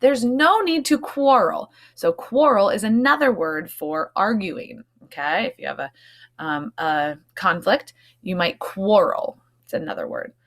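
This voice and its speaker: A woman's voice: fairly low pitch, expressive, unhurried, vocal fry, a bit bright. A woman teacher reading a storybook aloud to young children, expressively, with explanatory asides.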